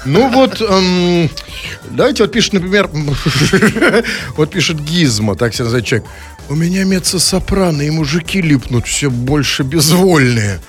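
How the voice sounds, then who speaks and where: A man's voice: a little high, expressive, normal speed, faint music behind. A man co-hosting a comic radio show, reading out listener messages and teasing about voices. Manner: playful, reads listener messages aloud, mimics voices.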